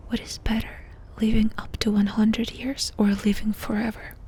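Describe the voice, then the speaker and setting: A woman doing ASMR in a breathy whisper, softly and intimately asking questions with pauses between them. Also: medium pitch, level tone. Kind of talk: whispered ASMR personal-attention roleplay.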